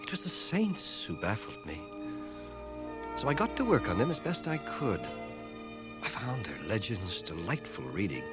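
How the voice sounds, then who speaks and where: Wry scholarly schoolmaster: lowish voice, expressive range, dry literate storytelling for radio drama. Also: male voice, over loud music. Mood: quiet enthusiasm. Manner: dry, literate, measured irony. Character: wry, learned schoolmaster, a wounded veteran narrating his life. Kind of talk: dramatized first-person radio narration. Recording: 1980 radio drama cassette transfer.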